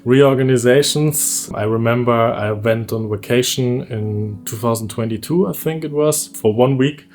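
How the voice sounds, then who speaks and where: A man in his thirties with a German accent, telling a candid personal story for his vlog in a relaxed, conversational way, often laughing as he goes. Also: medium pitch, normal speed, hardly any pauses, faint music behind. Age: 30s. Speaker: vlogger.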